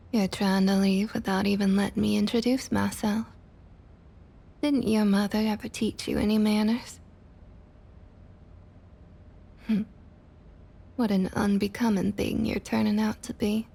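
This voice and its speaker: A woman playing a smug, condescending vampire councilwoman in an ASMR roleplay, with a Southern US accent and a falsely sweet, breathy delivery that often drops to a near whisper. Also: mid-range voice, mellow. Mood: mock hurt, coaxing. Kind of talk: ASMR roleplay, intimate scripted monologue.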